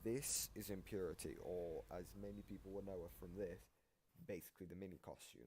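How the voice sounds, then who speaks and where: A man giving casual, analytical reaction commentary, explaining things in a halting, rambling way that circles back on repeated phrases. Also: deep voice, fairly even intonation, warm tone, a little hiss or hum. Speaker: reactor explaining game voice lines.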